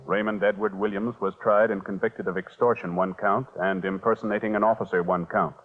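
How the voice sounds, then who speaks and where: A man's voice: fairly low pitch, steady tone. An American man reading scripted announcements in a formal, official 1950s radio style. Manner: measured, official. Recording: muffled old radio recording, faint music.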